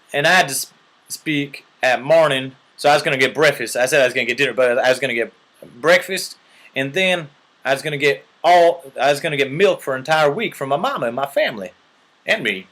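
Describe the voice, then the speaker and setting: A man's voice: medium pitch, a bit bright. Earnest rambling male storyteller. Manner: earnest, rambling, keeps asking "right?". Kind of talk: rambling mock-serious storytelling.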